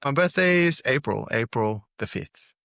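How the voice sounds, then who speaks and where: A man's voice: mid-range voice, lively intonation, moderate pace, vocal fry, a bit bright, dry recording, clean studio sound. A man from Singapore, a musician, answering interview questions in an easygoing, hesitant way, often restarting his phrases. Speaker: musician guest. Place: Seoul, recording remotely.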